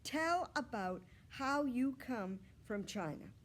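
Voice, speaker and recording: A woman's voice: a little high, expressive, takes it slowly, voice pushed up. A woman voicing eager, impatient young schoolchildren in a storybook, calling out emphatically in class. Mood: eager, insistent.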